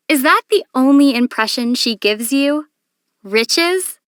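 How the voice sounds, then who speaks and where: High-voiced, thoughtful young adventurer heroine. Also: female voice, expressive, takes it slowly, a bit bright, dry recording, clean studio sound, exaggerated voice. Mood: amused. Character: young female adventurer, patient, thoughtful, dryly amused. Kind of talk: video game character voice acting.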